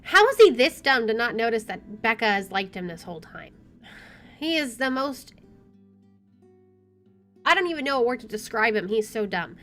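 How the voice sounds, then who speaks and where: A woman's voice: on the high side, big pitch swings, normal speed, long pauses, fairly bright tone. A woman let's player reading a visual novel aloud expressively with chatty asides, game music playing behind her.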